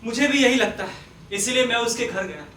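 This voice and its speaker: An actor playing a kindly, forgiving middle-aged father in a Hindi stage play, speaking in a measured, gentle, reassuring way. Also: extremely high pitch, animated, full character voice. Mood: concerned, hopeful. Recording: roomy distant stage recording, faint noise.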